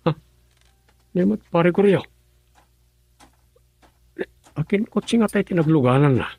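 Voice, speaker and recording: An actor playing a poor, bitter husband who wants to get rich, speaking earnestly, in a radio drama. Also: male voice, high voice, animated, a little slow, a little mellow, breathy edge, heavily acted. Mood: puzzled. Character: bitter grown husband, poor and wanting riches.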